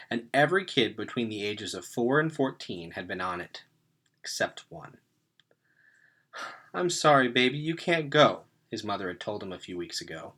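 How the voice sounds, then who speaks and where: A man reads a storybook aloud with lively, swooping pitch and a bright, forceful voice. He leans on key words for dramatic effect, in an expressive narrating style. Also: husky. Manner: animated, dramatic emphasis. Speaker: read-aloud reader.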